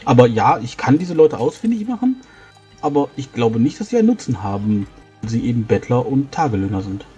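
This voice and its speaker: A man improvising in-character dialogue in a tabletop role-playing game, explaining things in a halting way. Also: mid-range voice, sing-song, dark tone, breathy edge, soft background music.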